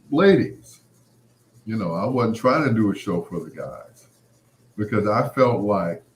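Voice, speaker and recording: A man hosting a late-night show, talking in a casual, rambling, conversational monologue with anecdotes. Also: on the low side. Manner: conversational, anecdotal, quoting past exchanges. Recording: roomy, echoing sound.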